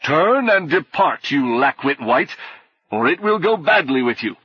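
A man's voice: on the high side, big pitch swings, unhurried, breathy edge, no room sound, lo-fi sound, pitched above the performer's own voice, full character voice. A man voicing a stern, pompous, menacing knight in an audiobook, imperious and declamatory with archaic phrasing. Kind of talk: audiobook character acting.

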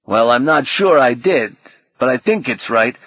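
A man playing a loyal, streetwise software assistant in an audiobook, talking in a casual, clipped, wisecracking way. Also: medium pitch, expressive, unhurried, husky, highs cut off. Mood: offhand, wry.

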